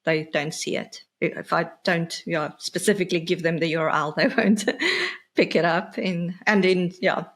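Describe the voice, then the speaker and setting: A South African woman in her thirties with an Afrikaans accent, a podcast guest chatting casually over a video call, hesitant with many fillers and soft laughs. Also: fairly low pitch, steady tone, normal speed. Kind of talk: casual interview chat. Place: home office, indoors.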